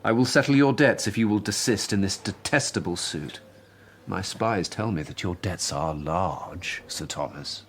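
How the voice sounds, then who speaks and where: A man playing a guarded, haughty Elizabethan statesman in a radio drama, speaking in a measured, formal, imperious way with a husky edge. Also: sits low, a bit bright, slight background noise. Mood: tense bargaining. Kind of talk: period radio drama acting.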